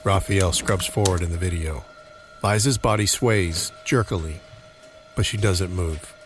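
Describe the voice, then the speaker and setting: A man's voice: low-pitched, measured pace, faint music behind. A man reading scripted narration for a horror mystery audio drama.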